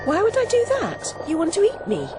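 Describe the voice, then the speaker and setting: A woman's voice: very high voice, big pitch swings, a little fast, gravelly, heavily acted. A woman playing a defiant, dry-witted young woman in a video game, cool and precise with a sardonic edge. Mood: wary, defiant. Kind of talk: video game character acting. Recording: loud music behind the voice.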